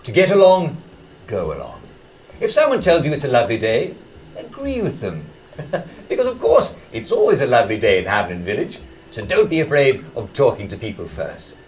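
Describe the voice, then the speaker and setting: A man's voice: mid-range voice, huge pitch swings, normal speed, darkish tone, slight background noise. An actor playing a relentlessly jolly, chummy male television presenter giving theatrical etiquette advice in a 1960s style. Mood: forced cheer.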